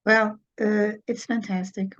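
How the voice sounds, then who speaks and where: Slow-talking Portuguese woman author. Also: medium pitch, level tone, a bit bright, lo-fi sound. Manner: hesitant, many fillers, laughs often. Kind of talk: interview answers.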